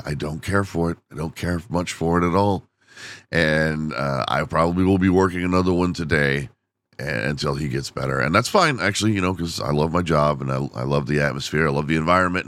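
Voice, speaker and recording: A man's voice: a bass voice, fairly quick, fairly bright tone, dry recording. A man hosting a casual solo podcast, rambling and self-deprecating with frequent laughs, and losing his voice from overuse. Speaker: podcast host.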